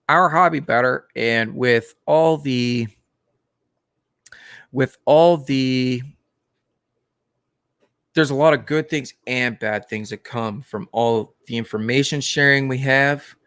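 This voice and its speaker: A man hosting a live stream about the aquarium hobby, talking casually in a monotone, with many fillers and restarts. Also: mid-range voice, clean tone, dry recording, studio quality. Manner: plain, unhurried talk with many fillers and restarts.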